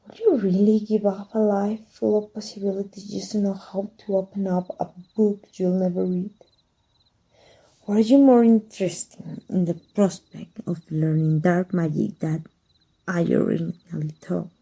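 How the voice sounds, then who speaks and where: A woman playing a husky, secretive dark magic teacher. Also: mid-range voice, level tone, poor audio. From Mexico. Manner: soft, measured, drops to whispers. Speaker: voice actor. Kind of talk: ASMR roleplay.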